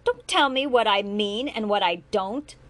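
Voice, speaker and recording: A woman's voice: a little high, expressive, unhurried, a bit bright, voice pushed up, exaggerated voice. A woman voicing a bitter, exhausted young man who confronts his father with mounting anger, in a read-aloud story. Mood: angry. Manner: sardonic, rising to anger.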